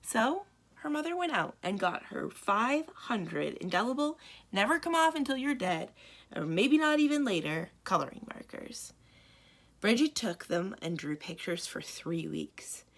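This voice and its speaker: A woman reading a children's picture book aloud expressively as a storyteller, with dramatic emphasis and drawn-out words. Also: medium pitch, big pitch swings, vocal fry. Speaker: library storyteller.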